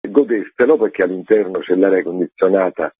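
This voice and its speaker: Low, husky man on a phone-like line. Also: a little fast, no echo. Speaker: theatre artistic director, interview guest. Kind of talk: spontaneous interview answers. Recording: narrow, tinny phone-like line, dry.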